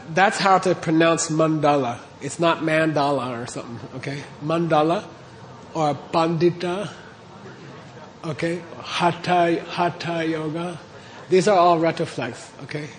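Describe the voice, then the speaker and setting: Lecturing man with a higher voice. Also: a little hiss or hum. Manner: explains terms step by step, checks in with "okay?". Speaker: teacher. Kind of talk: classroom lecture on Tibetan texts.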